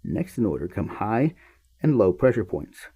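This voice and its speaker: A man hosting a podcast, reading a science text aloud in an emphatic, halting way with repeated words and offhand muttered asides. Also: fairly low pitch, big pitch swings, husky, highs cut off.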